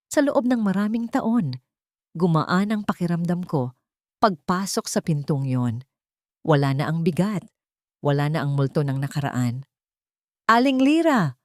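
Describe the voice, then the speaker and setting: Expressive low-pitched Filipino woman narrating a story. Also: dry recording, studio quality. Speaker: audiobook narrator. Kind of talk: scripted first-person dramatic story narration.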